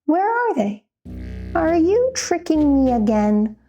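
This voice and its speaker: Woman playing a high, jittery, slow-paced storybook narrator. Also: sing-song, warm tone, highs cut off, soft background music, heavily acted. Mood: startled, doubtful. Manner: theatrical, addresses listener directly with questions. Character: jittery, easily spooked storybook narrator warning the listener. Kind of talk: dramatic children's read-aloud.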